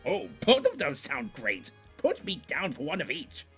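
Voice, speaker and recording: A man's voice: high-pitched, huge pitch swings, a little slow, creaky, a bit bright, faint music behind, voice pushed up, full character voice. A man voicing a theatrical, irritable male spirit of chaos in a comic storybook reading. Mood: delighted. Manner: theatrical, irritable, swinging to wonder. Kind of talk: comedic storybook character voice.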